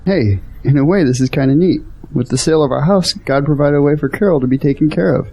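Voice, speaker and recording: Man acting devout hopeful son, audio drama, husky, mellow dark tone, earnest delivery. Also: fairly high pitch, fast talker. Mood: earnest, marvelling.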